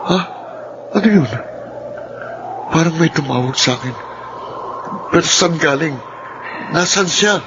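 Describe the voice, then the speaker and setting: A man's voice: fairly high pitch, lively intonation, unhurried, long pauses. A man playing a brooding, uneasy man in a radio drama, musing and questioning as if troubled. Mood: startled, puzzled. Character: brooding, uneasy brandy-drinking man haunted by worries. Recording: dull, clearly noisy lo-fi recording.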